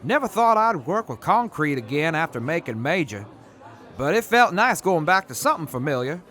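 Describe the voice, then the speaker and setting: Man as an earnest former major, polite, animated delivery, audio drama. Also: fairly high pitch, moderate pace. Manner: earnest, polite, flustered when cornered.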